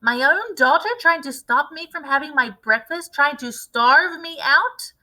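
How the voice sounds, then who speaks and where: A towering old grandmother, spiteful and greedy, barks every order in a shrill, piercing voice, as a woman reading a storybook puts her on. The tone is bright and sharp, pitched above an ordinary woman's. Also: lively intonation, a little slow, full character voice, poor audio. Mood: shrill scolding. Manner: loud, shrill, demanding.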